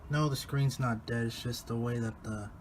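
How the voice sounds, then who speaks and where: Male hobbyist vlogger, average pitch, casual muttered commentary, noisy phone recording. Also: steady tone, normal speed. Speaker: radio hobbyist filming videos. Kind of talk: casual unscripted vlog chat.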